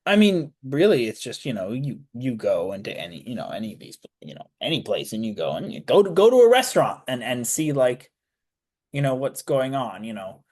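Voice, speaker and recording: A man's voice: mid-range voice, very animated, a little fast, a little mellow. A young man, a student guest on a radio interview, talking casually with lots of fillers and restarts. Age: young adult. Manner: rambling, many fillers and restarts. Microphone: studio mic.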